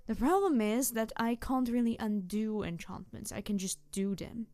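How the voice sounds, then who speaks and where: A woman voicing a playful, mischievous tanuki spirit girl in a roleplay audio drama, teasing the listener in an excitable, rambling way. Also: a little high, normal speed, no room sound, close to an everyday voice. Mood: sheepish, wistful. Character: playful, mischievous young-sounding tanuki trickster spirit girl, over two hundred.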